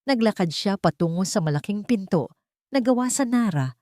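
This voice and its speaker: A woman narrating a dramatic scripted story in a measured, solemn, deliberate manner. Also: mid-range voice, expressive, a little mellow, no echo, clean studio sound.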